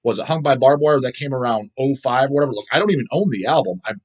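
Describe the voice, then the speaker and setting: A man's voice: mid-range voice, animated, fast talker. A man hosting a casual video show, rambling through his opinions in a blunt, profane way and repeating words for emphasis. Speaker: host of a video show about underground death metal. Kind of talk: casual rambling opinion vlog, answering viewer comments.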